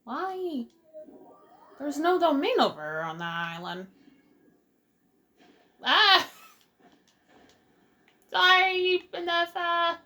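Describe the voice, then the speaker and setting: A woman's voice: very high voice, very animated, extremely slow, pauses often, bright, forceful tone. A woman giving casual let's play commentary, reacting and muttering to herself as she plays. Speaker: gaming streamer.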